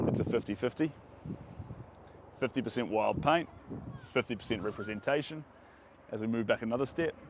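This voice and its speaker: A young man teaching painting on a live stream, enthusiastic and chatty, his voice a bit strained by the wind, recorded on a phone outdoors on a beach. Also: mid-range voice. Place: sandy beach outdoors on a sunny day. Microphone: phone held at arm's length. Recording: phone audio with clear wind and outdoor noise.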